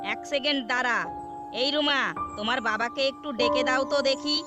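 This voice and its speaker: Horror cartoon sidekick: woman as curious, caring young male friend, high-pitched, questioning, music behind. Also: moderate pace, lo-fi sound, close to an everyday voice. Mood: concerned. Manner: questioning, solicitous.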